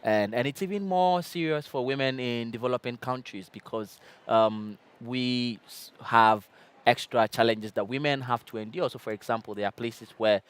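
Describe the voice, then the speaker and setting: A man's voice: medium pitch, moderate pace, clean tone. A man moderating a conference panel as a journalist, talking hesitantly with many fillers and repeated words.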